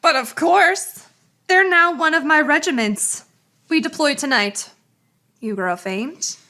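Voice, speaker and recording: A woman's voice: sits high, sharp tone, slight background noise, heavily acted. A woman playing a haughty, lovestruck noblewoman and army commander with a pompous, theatrical delivery in a radio play. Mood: wounded, haughty. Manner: pompous, grandly measured. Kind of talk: theatrical radio drama acting.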